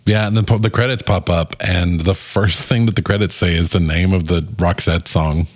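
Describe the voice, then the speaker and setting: A man's voice: sits low, fairly even intonation, moderate pace, no room sound. A man co-hosting a film review podcast, chatting casually in a wry way. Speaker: podcast co-host.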